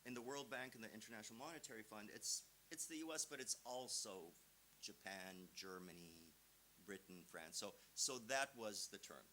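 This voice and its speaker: A man's voice: lowish voice, normal speed, pushed and bright, low-quality recording. A man from Romania, a visiting professor answering seminar questions in an explanatory, emphatic, conversational manner. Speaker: visiting professor, guest lecturer. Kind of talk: academic seminar answer with examples. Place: seminar room. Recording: clear background hiss.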